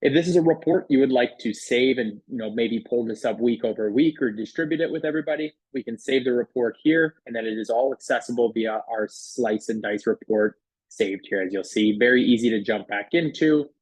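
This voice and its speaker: Man, slightly husky voice, dry close-miked sound, casual product demo voice-over, conversational, explanatory. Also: medium pitch, fairly quick, a little mellow, no room sound, poor audio. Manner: conversational, explanatory sales patter. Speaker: software product demonstrator.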